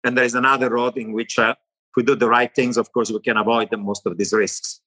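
A middle-aged economist with an Italian accent, answering interview questions in long animated lists. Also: male voice, mid-range voice, a little fast. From Italy. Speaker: economist guest.

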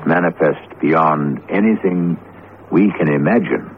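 A man hosting a radio drama with scripted suspense narration, gravelly, measured, theatrical and ominous. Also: low voice. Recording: tinny, noisy old radio broadcast.

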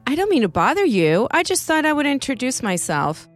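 A woman voicing an eager, chatty and inquisitive woman neighbor who asks quick, bubbly questions. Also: high voice, sing-song, faint music behind, voice pushed up.

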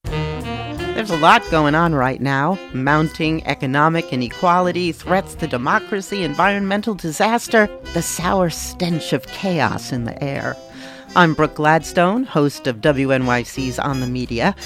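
A woman with a lowish voice reads a scripted promo for a radio show. She delivers each line in a slow, dramatic and measured way. Guitar music plays softly under her. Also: expressive. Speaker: radio host reading a promo.

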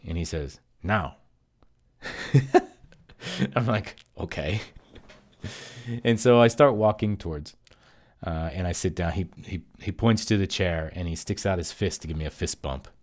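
A man with a somewhat low voice tells a story in a loose, rambling way. He leans on fillers and restarts and keeps a casual, conversational tone throughout. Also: normal speed, long pauses, a little mellow. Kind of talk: casual first-person storytelling.

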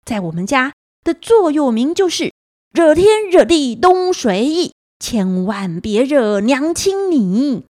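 A woman's voice: high-pitched, sing-song, slow pace, a little mellow, no room sound, studio quality, exaggerated voice. A woman voicing a cocky young clouded leopard cub, boastful and teasing, in a children's story. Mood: wry.

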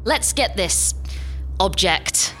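A woman's voice: mid-range voice, animated, slow pace, pushed and bright, slight background noise, low-quality recording. A woman playing an unflappable salvage ship pilot in a sci-fi audio comedy, dry and matter-of-fact.

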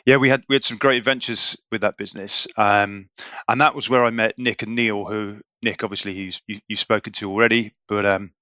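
Man, 40s, podcast guest, bright forceful tone, halting and full of ums. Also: lowish voice, fairly even intonation, no room sound, lo-fi sound. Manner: hesitant, many repeated words and ums. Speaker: guest, recruitment business leader. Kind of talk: podcast interview.

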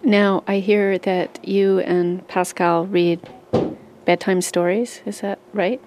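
A woman's voice: medium pitch, level tone, takes it slowly, darkish tone. A woman interviewer asking questions in a casual, conversational way.

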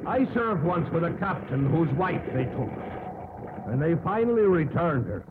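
An actor playing a deferential but anxious ship's first officer in 1950s radio drama style, earnest and emphatic. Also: male voice, a little high, very animated, moderate pace. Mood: warning. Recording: tinny, hissy 1950s radio broadcast.

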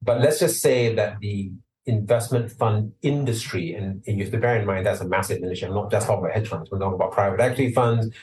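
A man from Singapore talks through his points at length, steady and explanatory, with sudden emphatic lifts. His voice sits on the low side. He sounds somewhat distant from the microphone. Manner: measured, explanatory, emphatic at times. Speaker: lawyer, interview guest. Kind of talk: interview answers.

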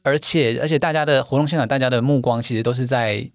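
A quick, chatty man. He fills his stories with little affirmations and restarts. The recording is close and dry, with no room sound. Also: mid-range voice, no room sound, soft background music. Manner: brisk, chatty, many fillers and repeated "对". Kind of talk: podcast interview, storytelling.

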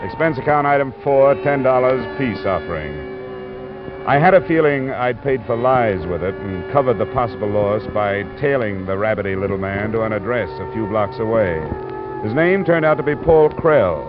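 A man's voice: mid-range voice, lively intonation, moderate pace, lightly acted. An American actor playing a cool, hard-boiled male investigator in a 1950s radio drama, clipped and matter-of-fact. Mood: wry, matter-of-fact. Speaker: lead actor and narrator. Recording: dull vintage radio recording, music behind.

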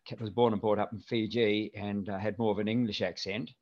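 Older kiwi guy, mild accent, low voice, rambling with lots of ums, kinda muffled lo-fi sound. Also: brisk. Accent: mild New Zealand. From New Zealand. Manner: relaxed, rambling with many fillers. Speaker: guest. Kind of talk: casual interview chat. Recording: dull lo-fi remote audio.

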